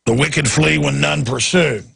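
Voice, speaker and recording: A hoarse, raspy man hosting a radio talk show, straining his voice as he rants in indignant political commentary from a studio. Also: fairly high pitch, a little slow, bright, forceful tone, dry recording. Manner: ranting, indignant.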